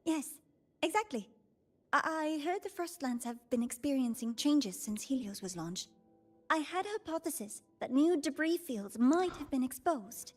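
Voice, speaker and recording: A woman playing an excitable young female researcher in a video game, shy and nerdy, given to eager rambling and flustered apologies. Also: high voice, normal speed, soft background music. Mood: excited. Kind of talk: anime-style video game acting.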